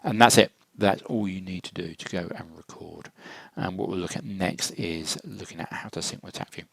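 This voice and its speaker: Deep, gravelly man explaining software. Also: moderate pace, no echo. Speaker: software tutorial presenter. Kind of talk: informal software walkthrough narration. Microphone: close computer microphone, screen-recording voice-over.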